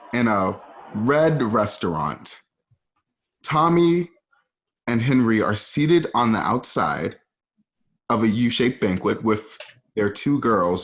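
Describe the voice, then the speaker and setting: A man narrating scene directions in a dramatic scripted reading. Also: mid-range voice. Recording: dull lo-fi home call audio.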